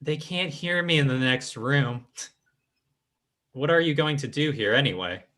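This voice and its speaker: Man acting a bright-voiced, imperious fake spiritualist. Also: medium pitch, animated, unhurried. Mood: smooth, suspicious. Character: smug, commanding fake spiritualist swindler. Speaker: actor in an old-time radio drama revival. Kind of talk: 1940s radio acting.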